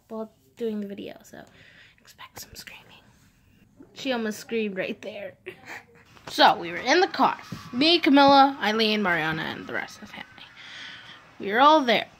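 A woman's voice: a little high, a bit bright, slight background noise. A teenage girl hosting a casual vlog, telling car-trip stories over her drawings in a rambling, self-mocking way, recorded on a handheld phone. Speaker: vlog host.

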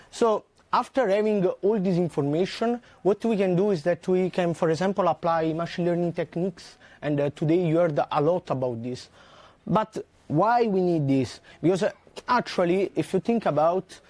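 A man, a researcher giving a conference talk in a lecture hall, earnest and full of "uh" pauses. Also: on the high side, a little mellow. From southern Italy. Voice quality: frequent "uh" fillers and restarts, earnest.

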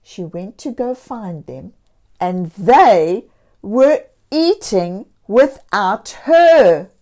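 A woman storyteller reading a picture book aloud to young children, expressively and deliberately, with encouraging asides. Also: medium pitch, lively intonation, dark tone. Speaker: children's storyteller and channel host.

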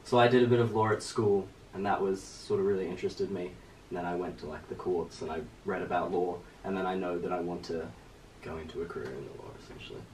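A young man from Australia, a law student speaking in a relaxed, measured way in a casual interview, recorded by a distant camera. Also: deep voice. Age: young adult. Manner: relaxed, measured, trails off quietly. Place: small room with bookshelves, indoors. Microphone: far camera. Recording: roomy sound from a distant camera, faint noise.

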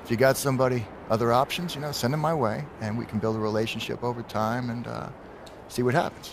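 An actor playing an eager, slick young American sports agent, chummy and casual, in a video game. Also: male voice, medium pitch, steady tone, fast, gravelly, lightly acted. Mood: persuasive. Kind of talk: naturalistic video game cutscene acting. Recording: outdoor traffic noise behind.

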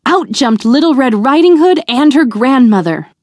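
A woman reading a fairy tale aloud to young children in a deliberate, expressive storytelling manner. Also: sits high, no room sound, studio quality.

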